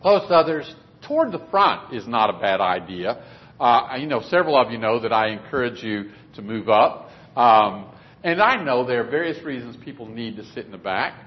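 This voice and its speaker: A man's voice: mid-range voice, lively intonation, moderate pace. A man preaching a sermon in a conversational, plainspoken way in a church meeting room. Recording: dull lo-fi recording.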